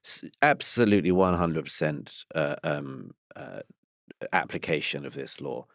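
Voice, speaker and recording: A man's voice: rumbling low, unhurried, gravelly, a bit bright, dry recording, studio quality. A man speaking confidently and assertively in an interview clip, with some fillers.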